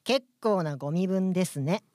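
A man's voice: sits high, expressive, normal speed, darkish tone, dry recording, studio quality. A man playing an earnest, prim young worker ant who protests indignantly in a radio drama. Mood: huffy, prim. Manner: indignant, polite, dutiful. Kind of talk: live radio drama acting. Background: music.

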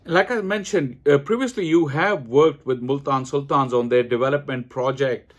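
A man's voice: mid-range voice, moderate pace. A middle-aged man hosting an online interview, asking questions in a formal, polite way. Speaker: host. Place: indoors, room with curtains.

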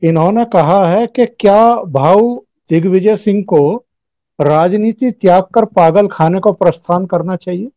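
A man's voice: high voice, unhurried, dark tone, dry recording. An older man hosting a video call interview, reading out a viewer's question and adding brief affirmations. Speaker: show host. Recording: dull, dry video call audio.

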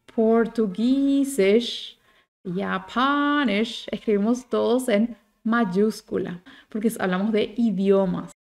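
A woman, giving a language lesson with deliberate enunciation and frequent little check-in tags. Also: fairly high pitch. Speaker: German teacher. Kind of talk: bilingual language lesson, explaining and modelling phrases. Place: small indoor room at home.

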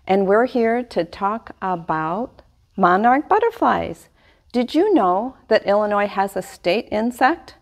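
A middle-aged woman giving a lively science lesson to young children, filmed indoors by a fixed camera. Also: medium pitch, slow pace. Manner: animated, speaks to young kids. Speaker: extension master gardener presenter. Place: small indoor room before a wall display.

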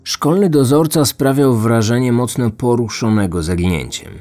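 A man's voice: mid-range voice, moderate pace, a little mellow, faint music behind. A man narrating a scripted true-crime documentary as its host.

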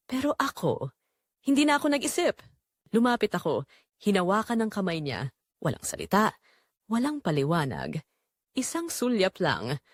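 Filipina storyteller with lively, swinging pitch. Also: female voice, no room sound, clean studio sound. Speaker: narrator of an audio drama, reading as the wife. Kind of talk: scripted first-person confessional story narration.

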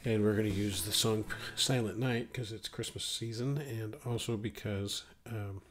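A middle-aged man with a cold, explaining guitar arranging in a relaxed, thinking-aloud way. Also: on the low side, normal speed. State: has a cold. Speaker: guitar teacher. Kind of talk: casual guitar tutorial. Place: small home music room, indoors. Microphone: studio mic on stand, wearing headphones. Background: acoustic guitar playing.

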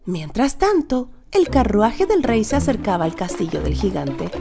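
A woman narrating a children's fairy tale in an expressive, dramatic storytelling manner. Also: medium pitch, normal speed, creaky, over loud music.

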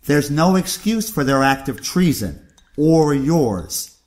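A man's voice: fairly high pitch, sing-song, slow pace, darkish tone. A man playing a cold, condescending older uncle, a ruthless government loyalist, in an audio drama. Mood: menacing. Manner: condescending, declamatory.

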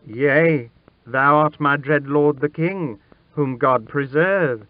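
Man acting high-pitched, humble pleading young boy. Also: takes it slowly, no echo, narrow, tinny audio. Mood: timid, reverent. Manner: pleading, reverent archaic speech. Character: frightened, humble young pauper boy mistaken for a prince.

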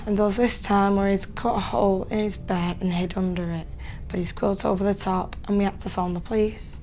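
Gravelly young woman, blunt. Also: mid-range voice, a little mellow, slight background noise. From Lancashire, England. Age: young adult. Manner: blunt, swears casually. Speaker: interviewee. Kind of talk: casual talk to camera. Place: living room at home, indoors.

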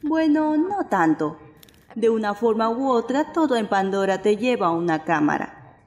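Woman acting lively shrewd young adventurer. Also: a little high, moderate pace. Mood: wry. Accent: Latin American Spanish. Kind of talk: fan dub of a video game.

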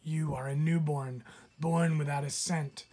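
A woman doing a gentle mother deer. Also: low-pitched, fairly even intonation, a little slow, a little hiss or hum. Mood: tender, instructive.

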